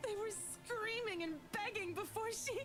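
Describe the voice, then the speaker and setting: A woman's voice: very high voice, moderate pace. An actress playing a distraught, haunted young woman, pleading and emphatic in dramatic visual novel style. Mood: haunted, horrified. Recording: music behind the voice.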